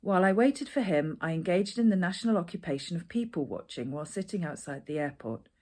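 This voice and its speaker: A woman's voice: lowish voice, expressive, fast talker, a little mellow. A woman reading a personal narrative aloud as its author. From Edinburgh, Scotland. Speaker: author reading their own essay.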